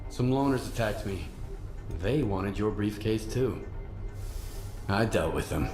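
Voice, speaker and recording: An actor playing a calm, matter-of-fact male mercenary scavenger in a video game, speaking tersely. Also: on the low side, slow. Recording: roomy echo with music behind.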